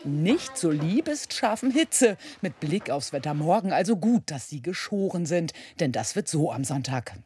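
A woman's voice: low-pitched, big pitch swings, normal speed, hardly any pauses, mellow. A woman narrating a light scripted feature leading into the weather forecast.

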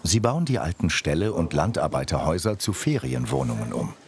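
A man narrates a documentary from a script in a very deep voice that moves freely up and down. His delivery is measured and factual. A clear layer of noise sits beneath the voice-over. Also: very animated.

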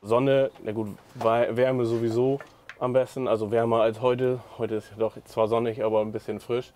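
A man's voice: medium pitch, little pitch movement, normal speed, darkish tone, slight background noise. A man in his twenties from northern Germany, a service technician, speaking in a plain, matter-of-fact way with filler words. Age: 20s. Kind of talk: casual interview answers.

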